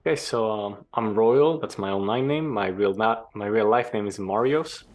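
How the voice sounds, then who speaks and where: A young man from Greece, a former pro gamer, answering interview questions in a relaxed, explanatory way. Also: fairly low pitch, moderate pace. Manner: relaxed, explanatory, some restarts.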